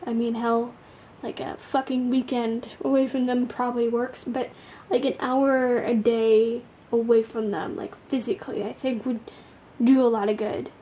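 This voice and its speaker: A woman doing a casual, blunt tarot card reading, tired, with a gravelly, sleepy voice, swearing casually and using lots of fillers. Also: fairly high pitch, level tone, moderate pace, warm tone, a little hiss or hum.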